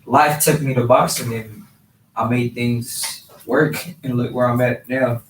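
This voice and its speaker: Even-toned young man, distant in a room. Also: mid-range voice, measured pace. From Los Angeles, partly raised in Honduras. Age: 20s. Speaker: guest, professional boxer. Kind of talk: casual interview answers. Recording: roomy, distant mic, faint background noise.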